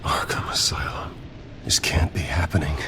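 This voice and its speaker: A man's voice: very deep voice, fairly even intonation, moderate pace, in a whisper. An actor playing a rattled wealthy man locked in an asylum in a video game, tense and defiant. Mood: shaken. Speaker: game protagonist. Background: game score music.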